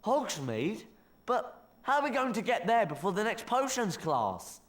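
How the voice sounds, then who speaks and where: Excitable schoolboy wizard, high voice, very animated, grumbling and complaining, game cutscene. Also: male voice, unhurried. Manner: complaining, exclamatory. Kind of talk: video game cutscene acting.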